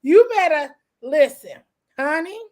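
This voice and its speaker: A woman with a slightly hoarse voice, chatting casually and calling everyone girl. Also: sits high, a little slow. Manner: drawling, calls everyone girl, lively laughs. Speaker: guest in a live chat. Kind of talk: casual gossipy chat.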